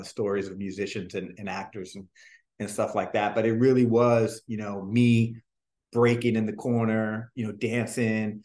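A man in his forties from New Jersey, telling stories from his life in a relaxed, rambling interview way with many fillers. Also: on the low side. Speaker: guest, singer and dancer. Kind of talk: casual interview storytelling. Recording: roomy echo.

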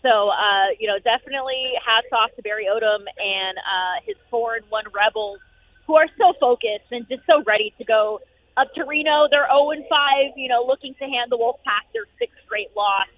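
A woman sports reporter calling in from an arena, upbeat and full of fillers, her voice going hoarse. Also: a little high, a little hiss or hum. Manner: upbeat, rapid, many fillers. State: losing her voice. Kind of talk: phone-in sports radio chat. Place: noisy arena. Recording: tinny phone line.

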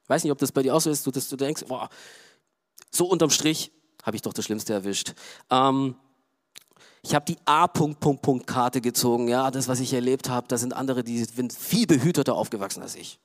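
A middle-aged man from Romania, preaching a sermon in a loose, conversational way, full of fillers and quiet asides. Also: medium pitch, normal speed. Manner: conversational, frequent "ja" and "ähm" fillers. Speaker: pastor.

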